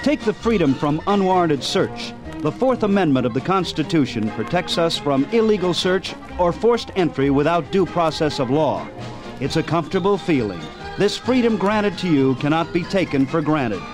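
A man's voice: a little high, very animated, normal speed. A man with an American accent hosting a radio programme, reading scripted announcements. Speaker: radio host. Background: loud music.

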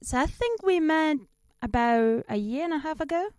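A woman from Spain with a Spanish accent, chatting casually as a comedian guest, animated and self-deprecating. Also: high voice, moderate pace, no echo. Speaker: stand-up comedian, interview guest. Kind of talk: casual chat, telling anecdotes.

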